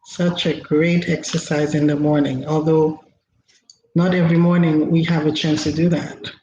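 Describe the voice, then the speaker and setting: A woman from Haiti whose first language is Haitian Creole, giving an inspirational talk as host, slow and reflective with frequent pauses. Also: sits low, flat delivery, mellow. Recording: roomy, dull lo-fi recording with faint noise.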